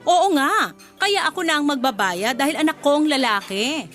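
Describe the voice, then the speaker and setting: A coy, protective Filipina mother in a radio drama. Her middle-aged character speaks high and swings expressively from fussing to giving in, over faint music. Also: expressive, normal speed, fairly bright tone. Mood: insistent.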